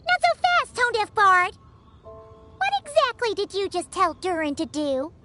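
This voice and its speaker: Bossy little game sidekick, indignant and very high, over game music. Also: female voice, sing-song, sharp tone, full character voice. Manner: squeaky, indignant, quick. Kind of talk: video game voice acting.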